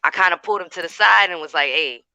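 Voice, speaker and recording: A man's voice: sits high, fast talker, creaky, clean tone, dry recording, lo-fi sound. A man in casual chat who tells stories with energy and acts out what people said. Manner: animated storyteller, acts out quotes. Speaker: co-host.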